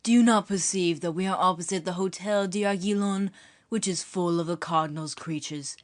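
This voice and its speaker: A woman's voice: lowish voice, close to an everyday voice. Woman playing a sly, polished young musketeer. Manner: polished, ironic, measured. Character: young musketeer and would-be churchman, sly and measured. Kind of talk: audiobook character reading.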